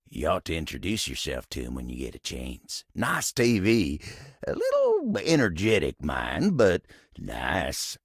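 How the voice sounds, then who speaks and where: An actor playing a lonesome, bashful cowboy table in a video game, with a gravelly Western American drawl and a gruff but kind, folksy, halting manner. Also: male voice, sits low, a bit bright, no room sound, clean studio sound, full character voice. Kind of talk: video game character dialogue.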